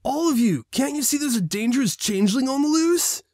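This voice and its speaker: A man's voice: very high voice, expressive, brisk, a little mellow, no echo, pitched above the performer's own voice. A man voicing an earnest, urgent pony guard captain with an authoritative manner in a storybook reading. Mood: urgent, exasperated.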